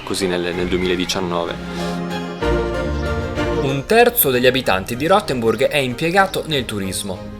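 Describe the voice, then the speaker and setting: A man presenting a travel documentary, speaking in a measured way with some hesitations. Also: lowish voice. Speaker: travel reporter. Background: music.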